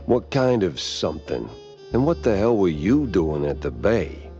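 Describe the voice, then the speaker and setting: Hardboiled cartoon detective mouse, deep register, sardonic and expressive. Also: male voice, unhurried, dull audio, faint music behind, exaggerated voice. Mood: wry, probing. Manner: dry, sardonic. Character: weary wisecracking hardboiled male mouse private detective. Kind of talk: noir cartoon game acting.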